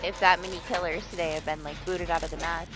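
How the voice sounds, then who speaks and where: A woman's voice: fairly low pitch, little pitch movement, fast, poor audio. A woman streamer chatting casually through her gameplay, sniffly and congested and now and then swearing. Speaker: game streamer. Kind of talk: casual live-stream chat.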